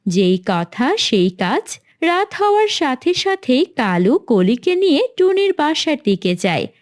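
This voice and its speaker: High-pitched, lively woman storyteller. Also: unhurried. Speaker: narrator. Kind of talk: scripted children's story narration.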